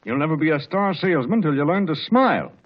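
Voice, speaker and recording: A man's voice: fairly high pitch, lively intonation, fast talker. An actor playing a sour, self-pitying young salesman who complains indignantly in a 1940s radio advert. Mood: sour complaint. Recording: dull old 1940s radio recording.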